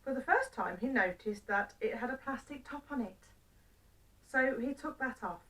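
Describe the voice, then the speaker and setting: A woman storyteller reading a children's story aloud in simple phrases with deliberate pauses. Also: a little high, lively intonation, fairly bright tone, far from the mic, low-quality recording.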